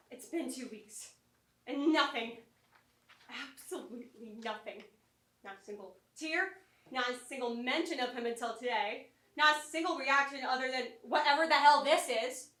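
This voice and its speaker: A woman's voice: high-pitched, animated, normal speed, pauses often, fairly bright tone, distant mic. A woman playing a grieving, bitter mother in a staged reading of a play, with a dramatic, accusatory delivery. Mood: angry, accusing. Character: grieving, bitter mother lashing out at her husband. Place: small theatre, live stage performance. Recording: echoey theatre, picked up from afar.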